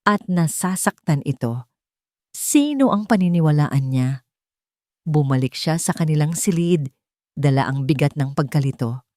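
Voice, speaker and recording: A woman's voice: low-pitched, animated, unhurried, long pauses, warm tone, no echo, studio quality. A woman with a Filipino accent telling a scripted story as a dramatic narrator.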